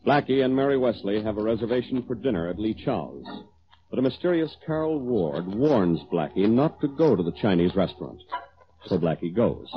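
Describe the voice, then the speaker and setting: A man's voice: lowish voice, a little hiss or hum, lightly acted. A man acting as an American announcer, narrating a scripted 1940s radio drama in a dramatic storytelling style. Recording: muffled old radio recording, music behind. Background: music.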